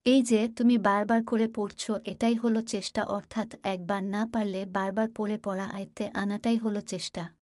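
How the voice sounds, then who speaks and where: A woman's voice: mid-range voice, little pitch movement, normal speed, a little mellow, dry recording, close to an everyday voice. A woman playing a patient village mother, dry sound. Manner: explanatory, instructive. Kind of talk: cartoon voice acting.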